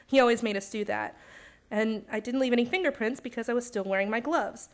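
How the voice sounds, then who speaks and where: A woman plays an earnest young lady in an old-time radio mystery. Her voice sits a little high, and she explains things with insistent, urgent emphasis. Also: a little fast, close to an everyday voice. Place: theater stage, performed live.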